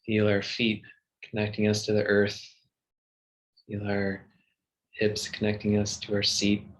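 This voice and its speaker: Male meditation guide: low, very unhurried, gentle delivery with soothing pauses. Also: monotone, low-quality recording. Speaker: meditation teacher.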